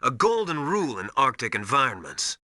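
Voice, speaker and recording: An actor playing a stern veteran military survival instructor in a video game, briskly lecturing with emphatic, commanding authority. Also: male voice, medium pitch, big pitch swings, sharp tone, no room sound, studio quality. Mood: stern, instructive. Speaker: mission survival adviser. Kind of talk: video game voice acting, instructional radio briefings.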